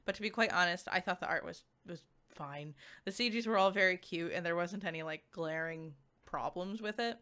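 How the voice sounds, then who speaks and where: A woman giving a casual, chatty game review as a streamer, speaking informally and haltingly with lots of filler words. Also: lowish voice, sharp tone. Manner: informal, halting, filler-heavy speech with frequent "like".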